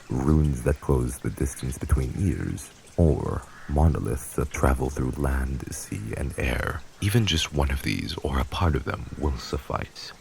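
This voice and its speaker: A vampire lord with a rock-bottom voice steeped in heavy gravel. He is cold, formal and commanding, like a crime boss handing down orders in a roleplay audio drama. A faint noise sits behind him. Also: extremely low pitch, a little mellow, exaggerated voice, poor audio.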